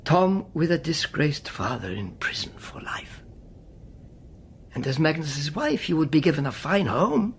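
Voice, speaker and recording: An older Czech spymaster, a courteous, wistful man in a radio drama. He persuades slowly and musingly with a lively melody and frequent near whispers. There is a faint hiss and rumble behind him. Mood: softly persuasive. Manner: deliberate, musing, often dropping to near whisper.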